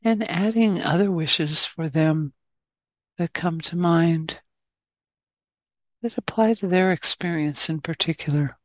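Female meditation guide, gentle and very unhurried, slightly low register, dry close sound. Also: dry recording. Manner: gentle, measured, with long pauses. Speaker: meditation teacher. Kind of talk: guided loving-kindness meditation.

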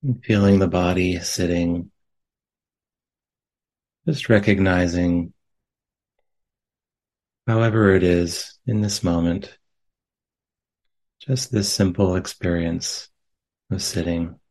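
A man leading a guided meditation as a teacher, speaking gently and unhurriedly in short phrases with long pauses. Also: low voice, fairly even intonation. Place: meditation center.

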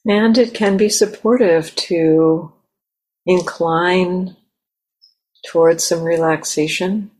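A woman leading a guided meditation as a teacher, speaking gently and haltingly in short, softly inviting phrases. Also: on the low side, fairly even intonation, slightly rough, low-quality recording. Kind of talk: guided meditation, unscripted and spoken in pauses. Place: meditation center talk.

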